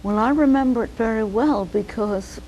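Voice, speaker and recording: An older woman, a physician, telling her life story slowly and thoughtfully in an oral history interview. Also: a little high, warm tone, a little hiss or hum, poor audio. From United States. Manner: measured, reflective storytelling.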